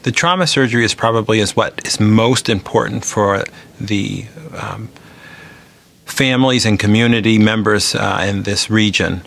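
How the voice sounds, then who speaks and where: A man speaking as a neurosurgeon, giving formal, measured explanations in interview remarks for a newsreel. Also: fairly low pitch, creaky, a bit bright.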